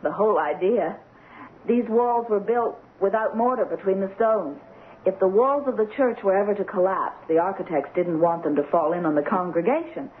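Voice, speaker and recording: An actress playing a sensitive, cultured young American wife in a radio drama. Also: female voice, mid-range voice, normal speed, husky, natural voice. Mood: admiring, curious. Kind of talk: old radio drama acting. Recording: muffled, hissy old radio broadcast.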